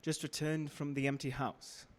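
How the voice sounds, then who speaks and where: A man's voice: a little high, level tone, normal speed, lightly acted. An actor playing a weary young husband reading his journal, earnest and clipped, in radio drama style. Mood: grim. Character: weary young husband reporting grim findings. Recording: reverberant live theater stage.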